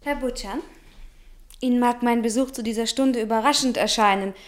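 A woman's voice: a little high, fairly even intonation, moderate pace, natural voice. An actress playing a young woman visitor in a German radio play, earnest and guarded. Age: young adult.

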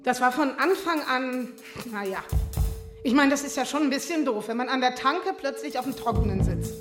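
A woman's voice: high voice, moderate pace, soft background music, close to an everyday voice. An actress playing a brash, scatterbrained woman giving a statement in casual, disjointed slang in a radio drama. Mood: indignant, offhand. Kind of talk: naturalistic radio drama testimony.

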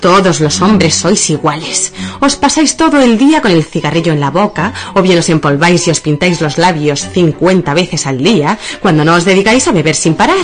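A woman's voice: lowish voice, animated, brisk, lightly acted. A woman playing a nagging, touchy old wife in absurdist radio theatre, indignant and full of questions, with music behind her.

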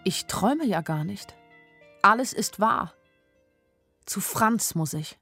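A woman's voice: mid-range voice, expressive, slow pace, pushed and bright, slightly rough, soft background music, full character voice. An actress playing an anxious young woman who narrates her own story in a radio drama, in a plain, introspective way. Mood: anxious, confused.

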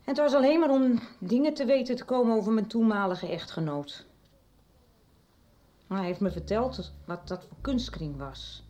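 A woman's voice: fairly high pitch, moderate pace, warm tone, lightly acted. An actress as a confiding middle-aged woman. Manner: confiding, chatty storytelling with hesitations. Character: middle-aged woman confessing her past. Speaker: radio drama character. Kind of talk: 1990s radio drama acting.

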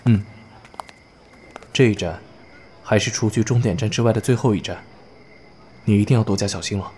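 A man playing a composed, attentive young player in a horror audio drama, calm, measured and serious. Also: lowish voice, a little hiss or hum, close to an everyday voice. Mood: serious, informative.

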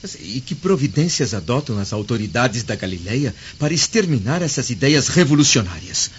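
An actor in a radio drama playing a proud, stern Roman senator with an imperious, formal manner. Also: male voice, mid-range voice, very animated, moderate pace, close to an everyday voice. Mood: indignant. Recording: dull lo-fi audio with faint noise.

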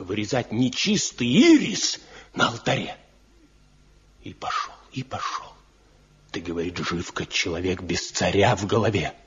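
An actor playing a proud, stubborn master woodcarver from a mountain village in a radio drama, speaking deliberately in folksy, declamatory phrases. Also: male voice, mid-range voice, slightly rough, heavily acted. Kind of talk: Soviet radio drama acting. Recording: muffled 1970 radio play recording.

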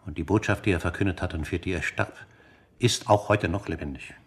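An actor playing an elderly scholar and father in a radio drama, measured, earnest and solemn, with fervent loyalty to freedom. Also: low voice, breathy edge.

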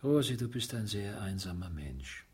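An actor playing a world-weary middle-aged widower in a radio drama, husky, blunt and sardonic, suspicious yet gruffly consoling. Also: male voice, fairly low pitch, lively intonation, moderate pace, over loud music, natural voice. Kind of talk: German radio drama acting, naturalistic dialogue.

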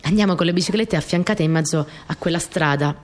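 A woman's voice: fairly low pitch, fast talker, close to an everyday voice. An actress playing an earnest, dreamy young Italian partisan courier who recalls her wartime girlhood in an intimate, simply told radio monologue. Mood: fond, lively. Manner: simple, conversational, reminiscent storytelling with short phrases. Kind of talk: radio theatre monologue, intimate first-person storytelling.

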